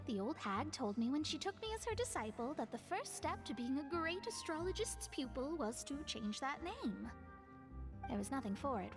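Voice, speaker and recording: Casting: young female stargazer scholar for a game, proud and lecturing, high, lively, articulate. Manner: articulate, lecturing, self-assured. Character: proud, wordy young female astrologist, smart and slightly haughty. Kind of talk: video game voice acting.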